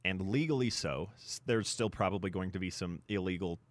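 A man's voice: sits low, a little fast, dry recording. A man co-hosting a sports talk show, explaining things point by point in a discussion. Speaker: sports radio show co-host.

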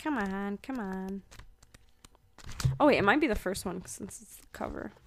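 A woman's voice: medium pitch, lively intonation, moderate pace, fairly bright tone. A young woman rambling through a weekly oracle card reading in a casual, chatty way, full of ums and asides. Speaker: spiritual podcaster.

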